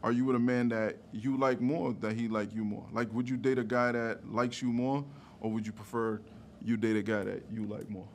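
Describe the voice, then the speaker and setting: A man in his thirties chats as a podcast host. His delivery is casual and slangy, full of conversational check-ins, in a mid-pitched voice. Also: level tone, moderate pace, a little hiss or hum. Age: 30s. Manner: repeats "you know what I mean" often, slangy.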